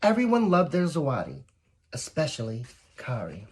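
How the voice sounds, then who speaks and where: A woman with a fairly low, husky voice reads a children's story aloud. Her pitch moves around a lot as she encourages young listeners and adds little asides. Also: huge pitch swings, moderate pace, a little mellow. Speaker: volunteer story reader. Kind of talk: read-aloud picture book for children, with asides.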